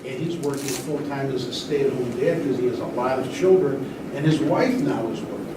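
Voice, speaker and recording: A man's voice: mid-range voice, fairly quick. Man on a panel in a very echoey hall. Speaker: attorney and panel guest. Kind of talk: panel discussion. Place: large echoey room at a school event. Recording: echoey hall, distant mic, loud noise.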